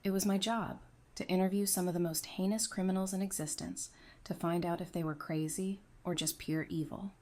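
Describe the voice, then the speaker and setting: A woman's voice: fairly low pitch, fairly even intonation, a little fast, a little mellow, lightly acted. A woman in an audio drama playing a composed, perceptive psychiatrist turned survivor, earnest and self-assured. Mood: confident.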